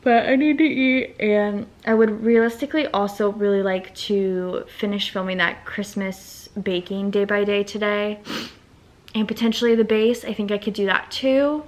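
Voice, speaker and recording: A young woman with a drawl chats to camera at home, thinking aloud as she plans her day. Her voice sits at an ordinary middle pitch, and she rambles easily from one task to the next in a relaxed, unscripted vlog manner. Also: normal speed. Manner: chatty, rambling, thinks out loud. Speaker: vlogger and small business owner. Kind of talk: casual vlog chat.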